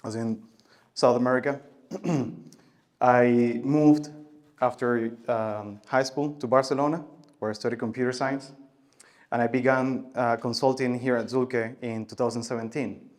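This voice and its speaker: Man giving a halting tech talk. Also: medium pitch, fairly even intonation. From Venezuela. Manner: halting, many filler sounds and restarts, loudness rising and falling. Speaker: conference speaker. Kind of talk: conference talk.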